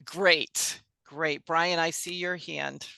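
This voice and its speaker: Woman leading a zoom style board meeting, really creaky, bright and dry sound. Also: low voice, fairly even intonation, slow, no room sound. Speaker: meeting host. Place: video call.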